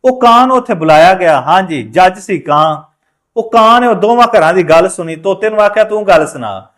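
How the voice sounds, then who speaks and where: Male Punjabi storyteller, high voice, emphatic oral delivery of moral fables. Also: animated, brisk, a bit bright.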